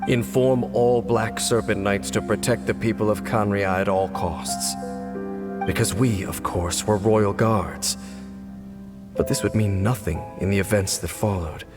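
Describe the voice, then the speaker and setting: An actor playing a solemn, world-weary former royal guard, centuries old, in a video game, speaking in a measured, grave and formal manner. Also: male voice, fairly low pitch, steady tone, in a large hall, music in the background, natural voice. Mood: grave, sorrowful.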